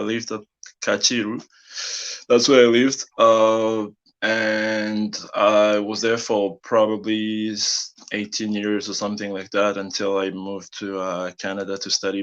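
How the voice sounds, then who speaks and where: A young man in his twenties from Rwanda, answering interview questions in a relaxed, hesitant way. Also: on the low side, unhurried, muffled recording. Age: 20s. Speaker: guest, film and music director.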